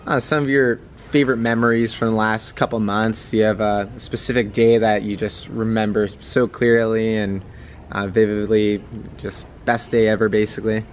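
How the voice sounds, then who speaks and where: A man's voice: fairly low pitch, flat delivery, normal speed, slight background noise. A man interviewing a traveler, asking questions in a hesitant, filler-heavy way. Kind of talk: casual interview chat.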